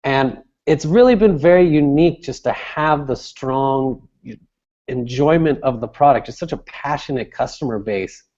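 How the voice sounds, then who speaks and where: A man's voice: medium pitch, moderate pace, a little mellow, highs cut off. A man giving conversational, explanatory interview answers as a guest, restating his phrases as he goes. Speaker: interview guest, sales director.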